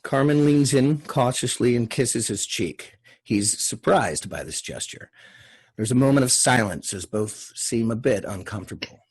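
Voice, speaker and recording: A man with a husky voice reading out screenplay stage directions as scripted narration. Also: mid-range voice, lively intonation, a little slow.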